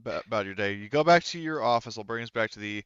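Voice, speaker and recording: A man acting as game master, narrating a tabletop game in a halting, conversational, sweary way. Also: on the low side, expressive, a bit bright, smooth, no echo, muffled recording.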